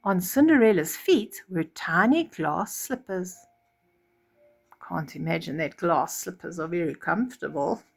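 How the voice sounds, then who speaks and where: A woman's voice: lowish voice, a little slow, creaky. A woman storyteller reading a children's fairy tale expressively, with chatty asides and acted-out reactions. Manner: animated, acts out reactions.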